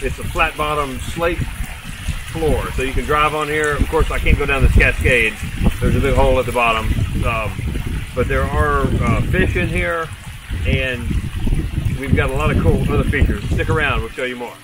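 A man's voice: medium pitch, moderate pace, heavy background noise. A middle-aged man casually showing a wooded property outdoors, upbeat and informal like a salesman. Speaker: real estate agent. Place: outdoors in woods by a creek. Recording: distant outdoor camera audio with guitar music.

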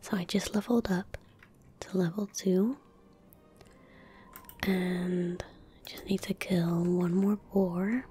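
Whispering, slow-paced young woman. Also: on the low side, fairly even intonation, faint music behind. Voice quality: breathy, whispery, soft. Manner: unhurried, gentle, murmured. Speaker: ASMR gaming streamer. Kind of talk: soft-spoken ASMR game commentary. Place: indoor room at a desk. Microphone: close desk microphone.

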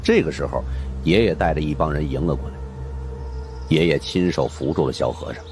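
A man narrating a supernatural mystery audiobook as an animated storyteller. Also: rumbling low, brisk, soft background music.

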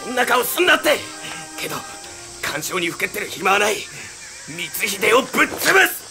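An actor playing a brash, resolute young samurai general with a boyish air in a video game. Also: male voice, high voice, very animated, normal speed, creaky, exaggerated voice. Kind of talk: dramatic Japanese video game acting. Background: music.

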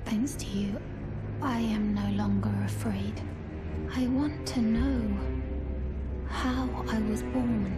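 A woman voicing a tender young woman in a game, soft breathy whisper, unhurried sorrowful lines, game music behind. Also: medium pitch, close to an everyday voice. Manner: slow, tender, sorrowful delivery. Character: gentle young woman, troubled daughter of a manor lady. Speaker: game character. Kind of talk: video game cutscene acting.

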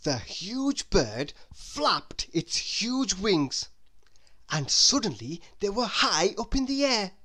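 A woman reading a children's story aloud in a deliberate, expressive way, as if to a young listener. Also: medium pitch. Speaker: storybook reader. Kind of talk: scripted children's story narration.

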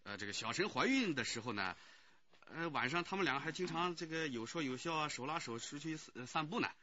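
An actor playing a chatty, eager-to-help neighbourhood committee director in a Chinese radio drama, hesitant and full of interjections. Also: male voice, a little high, expressive, brisk, pushed and bright. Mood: gossipy, explanatory. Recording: dull, muffled radio drama recording.

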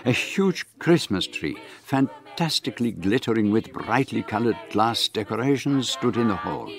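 A man's voice: lowish voice, animated, normal speed, faint music behind. A man playing a fussy, inquisitive foreign detective, formal and ornate in speech, narrating in a radio play. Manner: formal, ornate phrasing with hesitant fillers. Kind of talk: radio drama acting.

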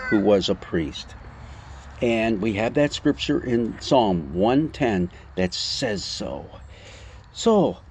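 A man in his sixties giving an informal Bible talk, explaining scripture in halting, emphatic phrases with rhetorical questions. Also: medium pitch, husky. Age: 60s. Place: outdoors on a covered porch among greenery. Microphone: phone or camera at arm's length.

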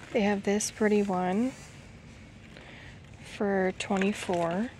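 A woman in her thirties narrates a shopping vlog in a casual way. Her pace is slow, with pauses between items, and her pitch is average. There is clear store ambience behind her voice. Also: darkish tone, slight background noise. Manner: unhurried, item-by-item commentary with pauses. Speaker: vlogger. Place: retail store aisles, some in a parked car.

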